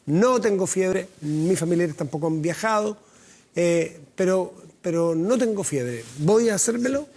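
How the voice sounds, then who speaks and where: A man hosting a studio TV interview, asking direct, lively questions. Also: sits high, measured pace. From Chile. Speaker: TV host.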